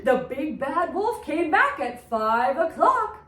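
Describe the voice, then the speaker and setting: A woman reads a children's tale dramatically, like a preschool teacher performing. Her pitch is very high and swings widely, and she takes her time. The room is echoey. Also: slow pace, distant mic. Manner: theatrical, expressive. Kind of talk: dramatic storybook read-aloud for preschoolers.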